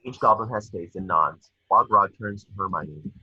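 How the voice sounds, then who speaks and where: A man's voice: low voice, animated, normal speed, slightly rough, no echo, dull audio. A man reading stage directions as narrator for a screenplay table read.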